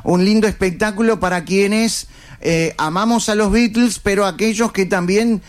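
A man's voice: high voice, steady tone, normal speed. A man with an Argentine accent hosting an informal interview, asking questions and chatting with guests. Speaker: interviewer, host.

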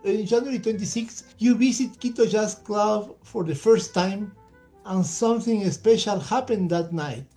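A man hosting a radio interview with a polished, measured presenter delivery from a studio. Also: high voice, dark tone, faint music behind. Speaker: radio show host. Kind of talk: radio interview, formal welcome.

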